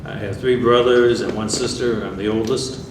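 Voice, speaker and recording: Older male veteran, low voice, measured and dry; roomy, distant, noisy recording. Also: fairly even intonation. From New York State. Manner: plainspoken, dry humor, measured. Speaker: veteran interviewee. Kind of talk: oral history interview. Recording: echoey room, far mic, background noise.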